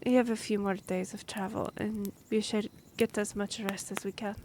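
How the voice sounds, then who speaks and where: A woman's voice: medium pitch, level tone, normal speed, dark tone, a little hiss or hum, close to an everyday voice. A woman playing a courteous half-elf royal liaison in a fantasy audio drama, speaking formally and explaining things with some hesitation. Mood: apologetic, gentle.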